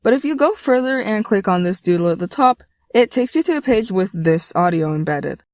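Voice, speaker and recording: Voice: mid-range voice, lively intonation, a little fast, no room sound. A video creator narrating a scripted video essay.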